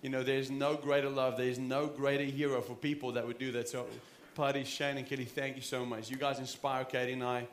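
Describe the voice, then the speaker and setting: A man's voice: mid-range voice, flat delivery, fairly quick, hardly any pauses, echoey room, slight background noise. A man in his thirties with a South African accent, preaching an earnest, conversational sermon with frequent restarts. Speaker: pastor. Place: church sanctuary.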